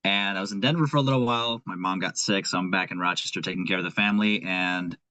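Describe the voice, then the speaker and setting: A man's voice: low-pitched, quick pace, sharp tone, no echo. A young man from Nepal with a Nepali accent, a guest talking earnestly and thinking aloud in casual conversation. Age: young adult. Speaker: guest, aspiring investor.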